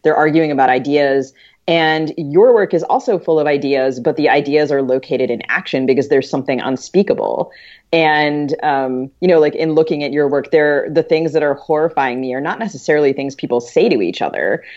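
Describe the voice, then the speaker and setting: A female podcast co-host with a notably low voice talks through literary ideas. She is thoughtful and halting, searching for the right phrase, on slightly muffled lo-fi audio. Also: darkish tone.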